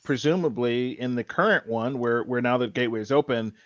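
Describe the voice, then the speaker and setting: A man's voice: medium pitch, fairly quick, no room sound. Man chatting on a dull, lo-fi mic. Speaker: podcast co-host. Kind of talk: casual fan discussion.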